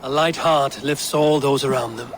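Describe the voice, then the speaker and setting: A man's voice: medium pitch, steady tone, slight background noise, close to an everyday voice. A man voicing a stern Viking raid leader. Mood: resolute. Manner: grave, measured, commanding. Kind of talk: video game cutscene acting.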